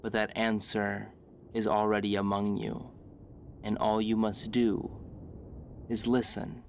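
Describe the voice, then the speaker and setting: A man's voice: lowish voice, little pitch movement, slow pace, gravelly, slight background noise. A man voicing a solemn celestial being, portentous and commanding, in a fantasy tabletop game. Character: solemn celestial being addressing children of the stars.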